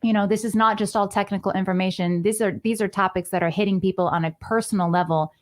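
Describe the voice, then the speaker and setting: A woman hosting a talk show, introducing a guest in a measured, slightly halting way. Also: mid-range voice, steady tone. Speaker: host.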